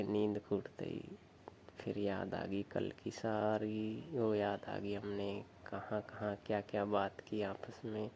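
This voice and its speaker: A man playing a village lover coaxing his sister-in-law on the phone, with a Rajasthani accent and a slow, suggestive way of talking. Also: on the low side, gravelly, darkish tone, a little hiss or hum, full character voice. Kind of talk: staged phone call, casual flirtatious chat.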